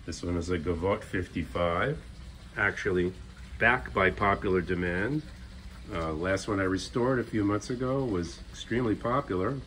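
A man's voice: fairly low pitch, fairly bright tone, a little hiss or hum. A man giving a casual, unscripted demonstration in a conversational way, as a restorer showing his work. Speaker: vintage radio restorer presenting his work. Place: indoors, at a stone countertop. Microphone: handheld camera or phone, off screen. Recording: music playing from a radio nearby. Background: music from the radio.

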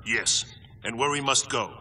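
A man playing a solemn, stern thunder god and mentor who speaks formally and gravely in a video game. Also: medium pitch, measured pace, bright, forceful tone, slight background noise. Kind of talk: dramatic video game acting. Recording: long hall reverb, faint music.

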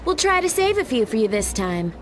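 Sassy high-pitched teenage alien girl. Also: big pitch swings, brisk, over music. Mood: cocky. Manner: sassy, impatient. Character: eager, cocky teenage alien girl Jedi apprentice. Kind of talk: animated game cutscene acting.

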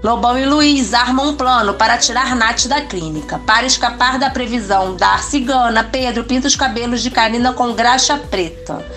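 Woman, voice-over narration, steady scripted reading, slightly high voice, soft music behind. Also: normal speed, hardly any pauses. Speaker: recap narrator.

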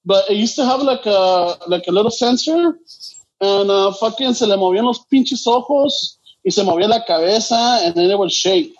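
A man's voice: sits high, normal speed, lo-fi sound. A man with a Chicano Los Angeles accent, co-hosting a casual podcast chat, rambling, swearing and switching between English and Spanish. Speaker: podcast co-host.

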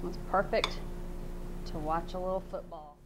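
A woman's voice: fairly low pitch, fairly even intonation, normal speed, noisy background. A woman, hosting a casual cooking demonstration in a studio kitchen with a chatty, friendly way of talking. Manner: chatty and friendly, with y'all and fillers. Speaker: cooking show host. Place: large studio kitchen indoors.

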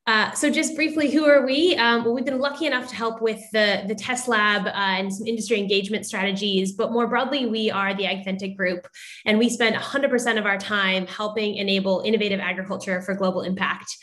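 A woman's voice: mid-range voice, fairly even intonation, a little fast, a bit bright. A middle-aged American woman from the United States giving an upbeat, articulate prepared presentation as moderator on a video call. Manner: upbeat and articulate, occasional fillers. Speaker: moderator introducing startups.

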